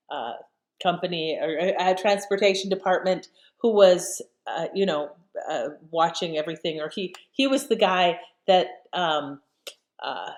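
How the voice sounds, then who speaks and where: A woman hosting in a casual chat style, informal and wry, thinking aloud with hesitations. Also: on the low side. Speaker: host commenting on old radio shows.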